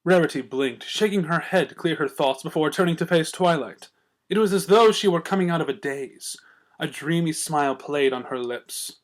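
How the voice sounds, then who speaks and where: A man reading fiction aloud as a storyteller, with expressive scripted narration. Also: a little high, moderate pace. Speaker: story reader.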